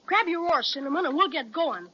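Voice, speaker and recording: A woman's voice: high voice, lively intonation, a little fast, pushed and bright. A woman playing an eager, wide-eyed young boy adventurer with slangy, old-fashioned delivery in a children's radio serial. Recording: dull old radio recording.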